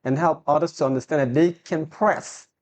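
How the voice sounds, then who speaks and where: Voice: medium pitch, normal speed, darkish tone. Earnest talk-show host leading a prayer.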